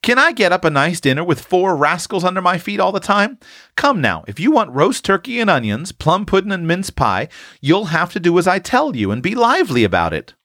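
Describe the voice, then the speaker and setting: A man's voice: fairly high pitch, sing-song, a little slow, fairly bright tone, no room sound, clean studio sound, pitched above the performer's own voice, exaggerated voice. A man voicing a spirited, bossy young farm girl from a storybook, with commanding, rustic old-time speech. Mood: spirited, insistent.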